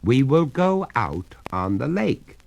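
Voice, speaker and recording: A man voicing a polite, resolute young gentleman heir in a storybook ghost tale, theatrical and firm. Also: medium pitch, very animated, slow, creaky, slight background noise, pitched above the performer's own voice, heavily acted. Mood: firm, commanding.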